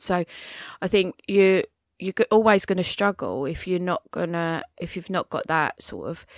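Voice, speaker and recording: Croaky woman, podcast co-host, hesitant and thoughtful, lower voice, dry close mic. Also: no room sound. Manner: hesitant, thinking aloud with ums. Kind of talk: casual fan podcast chat.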